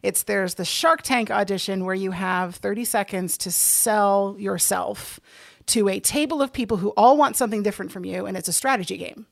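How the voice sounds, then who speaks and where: A woman in her thirties talks in a casual interview, with noticeable vocal fry and a close, dry sound. She is enthusiastic and leans hard on phrases, often repeating them for emphasis. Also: medium pitch, normal speed, dry recording. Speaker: guest, organizer of a play-reading group; actor. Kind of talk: casual podcast-style interview chat.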